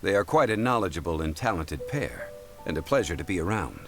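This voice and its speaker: An actor playing a composed, courteous scholarly gentleman in a fantasy game, speaking formally and politely. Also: low voice, big pitch swings, a little fast, soft background music, exaggerated voice. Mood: calm, courteous. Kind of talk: video game voice acting.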